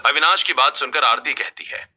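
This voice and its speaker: A man's voice: mid-range voice, fairly quick, sharp tone. A man reading scripted narration for a family drama story as its narrator.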